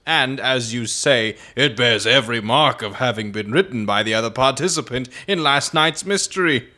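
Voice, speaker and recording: A clever gentleman detective from a Victorian mystery drama, played by a man. He speaks in a mid-pitched voice, measured and courteous, with an amused sarcasm underneath. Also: close to an everyday voice. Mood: amused, polite.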